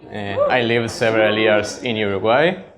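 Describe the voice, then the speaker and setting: A man in his thirties from Argentina with an Argentine Spanish accent, a native Spanish speaker giving a technical meetup presentation to an audience. He explains plainly and pauses often. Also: lowish voice, fairly even intonation, crowd noise. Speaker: conference speaker, data scientist. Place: meetup stage before an audience.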